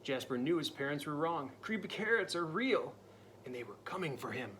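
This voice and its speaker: A man reading a picture book aloud to a child, dramatic and playful, dropping into spooky whispers and jumping to loud cries. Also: fairly high pitch, big pitch swings, a little fast, a little hiss or hum. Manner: playful asides to the listening child. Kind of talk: storybook read-aloud.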